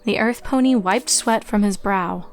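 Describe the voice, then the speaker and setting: A woman narrates a story in a scripted storybook style, with a mid-to-low pitch for a female voice. Music plays behind her. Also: a little slow. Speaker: fan fiction narrator.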